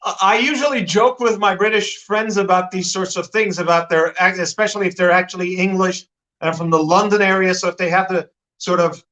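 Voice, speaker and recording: Interview guest, male, American accent, bright high voice, rambling and self-correcting. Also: fairly even intonation, brisk, low-quality recording. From Turkey. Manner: talkative, frequent restarts and repeated words. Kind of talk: live-stream interview.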